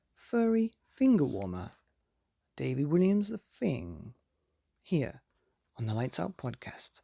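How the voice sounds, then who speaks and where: A man hosting and reading a children's bedtime story, with theatrical, dramatic emphasis and drawn-out words. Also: medium pitch, creaky, warm tone, slightly rough, no echo, clean studio sound. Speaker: podcast host and story reader.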